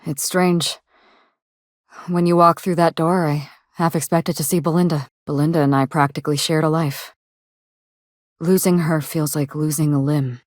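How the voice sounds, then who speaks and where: A woman's voice: deep voice, fairly even intonation, moderate pace, pauses often, darkish tone, dry recording, natural voice. An actress playing a sardonic, grieving woman out to avenge her sister in a video game, speaking articulately with dry, controlled sarcasm. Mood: grieving, restrained. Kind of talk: video game dialogue acting.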